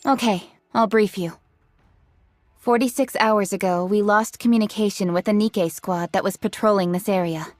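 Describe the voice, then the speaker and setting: A woman's voice: medium pitch, normal speed, close to an everyday voice. Composed, curt female squad leader, acted. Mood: briefing. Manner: formal, clipped, composed. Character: businesslike young female android squad leader, dutiful and curt. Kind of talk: English video game voice acting.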